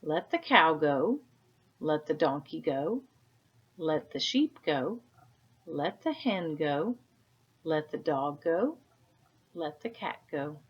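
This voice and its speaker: A woman voicing a calm, commanding wise man who gives firm, measured instructions in a children's storybook. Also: low-pitched, husky, exaggerated voice. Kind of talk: children's storybook read-aloud. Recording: dull, lo-fi sound.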